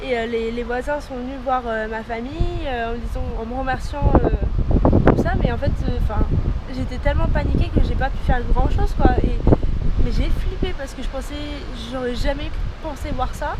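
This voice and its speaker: A woman's voice: high-pitched, normal speed. A young woman with an accent from France, telling a personal story casually to camera in slangy, hesitant speech. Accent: French from France. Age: young adult. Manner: informal, hesitant, slangy delivery. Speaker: au pair vlogger. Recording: noisy, wind and rustling on mic.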